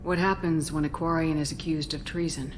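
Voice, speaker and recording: A woman's voice: low-pitched, steady tone, a little fast, background noise, lightly acted. A woman voicing a composed, direct military commander in a video game. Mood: direct, calm. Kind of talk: video game dramatic dialogue.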